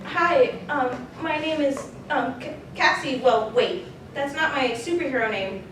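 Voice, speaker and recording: Shy, high-voiced little girl played by a woman. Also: a bit bright, far from the mic. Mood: shy, nervous. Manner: halting, stammering, timid. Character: shy, hesitant eight-year-old superhero girl. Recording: echoey room, off mic, faint noise.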